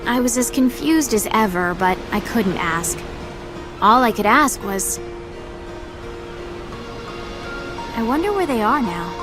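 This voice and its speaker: A woman's voice: a little high, expressive, moderate pace, natural voice. An actress playing a frazzled, skeptical young woman narrating her journey in an audio drama, with a dry, sardonic delivery. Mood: puzzled, sober. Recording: loud music under the voice. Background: music.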